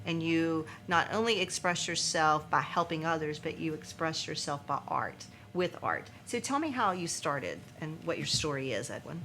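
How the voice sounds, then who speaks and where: Low, bright-voiced woman hosting. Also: lively intonation, normal speed, few pauses, a little hiss or hum. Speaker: talk show host. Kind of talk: warm, effusive talk show interviewing.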